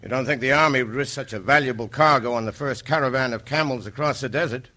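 An actor playing a brisk American army lieutenant of the Old West in a radio drama. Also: male voice, medium pitch, sharp tone. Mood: stern, assured. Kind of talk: 1950s radio western acting.

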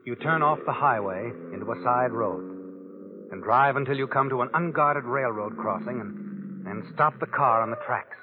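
An actor playing a sardonic, all-knowing narrator who taunts in a 1940s radio crime drama. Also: male voice, medium pitch, moderate pace, noisy background, lightly acted. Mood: tense, ominous. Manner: taunting, insinuating second-person storytelling. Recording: tinny old radio broadcast with music.

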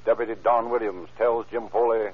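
A man's voice: lowish voice, fairly even intonation, a little fast, slightly rough. An actor as the authoritative male narrator of an old-time radio crime drama, formal and clipped in delivery. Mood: grave, urgent. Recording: muffled vintage radio recording with music.

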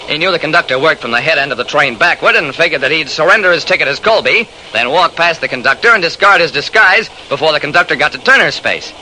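A railroad detective in an old radio mystery, narrating with clipped, emphatic delivery. The voice is piercingly bright and very lively in pitch, on a faintly noisy vintage recording. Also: male voice. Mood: explanatory. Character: brisk, methodical male railroad detective narrating his case. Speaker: narrator and lead investigator.

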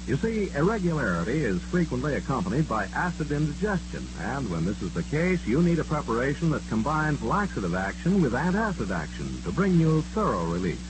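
A man's voice: mid-range voice, big pitch swings, fairly quick, few pauses. A man announcing a 1940s-style radio commercial with formal, emphatic salesmanship. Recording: noisy old radio recording with hum.

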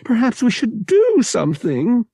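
A man's voice: very high voice, huge pitch swings, a little slow, vocal fry, mellow, slightly rough, dry recording, studio quality, pitched above the performer's own voice, exaggerated voice. A man voicing a timid, fretful older mother who speaks in gasping, fluttery exclamations. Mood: anxious, dismayed.

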